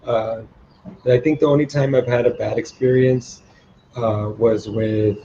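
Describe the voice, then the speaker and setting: Mellow, relaxed male English tutor. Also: medium pitch, a little slow, muffled recording, slight background noise. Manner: relaxed, friendly, chatty with "um" and "you know". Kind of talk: casual conversation.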